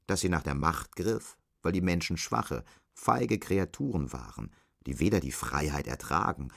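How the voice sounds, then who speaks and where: An actor as the grave narrator of a dystopian radio drama, reading in a measured, literary manner. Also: male voice, rumbling low, creaky, full character voice.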